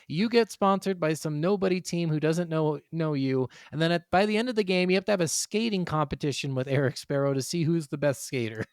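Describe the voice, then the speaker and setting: A man with a fairly high voice chats casually as a gaming podcast co-host. He is blunt and emphatic with plenty of swearing, recorded dry with no room sound. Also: fairly quick, few pauses, clear, resonant tone, no room sound. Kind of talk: casual chat about video games.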